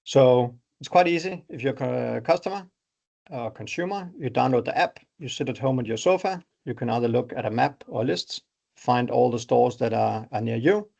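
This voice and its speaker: A middle-aged Danish-accented man walks listeners through how an app works, in a relaxed, conversational way. His voice has a gravelly, creaky edge and sits at a middling pitch. He talks like someone presenting on an online call. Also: normal speed, highs cut off. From Denmark. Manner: explanatory, conversational pitch with examples. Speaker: startup founder presenting.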